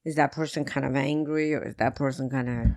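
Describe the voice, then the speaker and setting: A woman from New York talks as a podcast guest, with a deep voice that some listeners mistake for a man's. She tells her stories in a plain, matter-of-fact way. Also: steady tone, brisk, creaky, a little mellow, no room sound. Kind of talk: casual interview.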